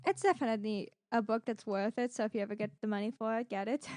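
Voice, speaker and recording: A woman's voice: on the high side, fast talker, no room sound, studio quality. A woman co-hosting a podcast in casual chat, rambling along and acting out little stories as she retells them. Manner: rambling, animated retelling with acted-out quotes. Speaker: podcast co-host. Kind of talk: casual chat about gaming streams and giveaways.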